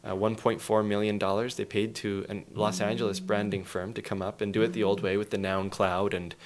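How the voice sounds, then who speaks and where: A man's voice: on the low side, level tone, brisk. A man speaking as an interview guest, explaining things in an emphatic, storytelling way with acted-out quotes.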